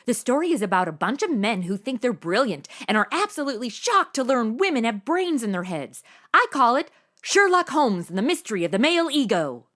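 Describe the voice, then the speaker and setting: A woman's voice: a little high, sing-song, a little fast, a bit bright. A woman with an American accent playing a sassy, slangy modern young woman, sarcastic and brash, in a comedy audio drama.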